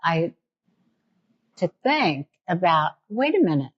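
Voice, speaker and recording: New York woman host, low muffled voice. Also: big pitch swings, slow pace, breathy edge. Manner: thinks aloud with many restarts, enthusiastic. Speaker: podcast host. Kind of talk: casual conversational interview.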